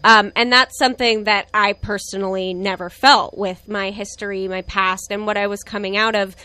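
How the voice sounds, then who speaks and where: A woman's voice: mid-range voice, steady tone, moderate pace. A woman in her twenties talking candidly and reflectively about her relationship in a casual conversation. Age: 20s. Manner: reflective, candid, soft laughs. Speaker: guest.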